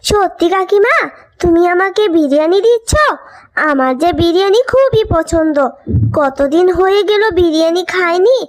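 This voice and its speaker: A woman's voice: very high-pitched, animated, normal speed. A woman voicing a sweet, eager young village girl in a cartoon, cheerful and innocent. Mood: eager, pleased. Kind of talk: cartoon child acting. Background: music.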